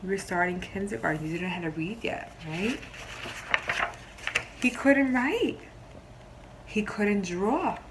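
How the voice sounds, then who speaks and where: A woman kindergarten teacher reads a story aloud in a slow, expressive way. She stops to put simple questions to young listeners and explain. A little background noise sits behind her mid-pitched voice.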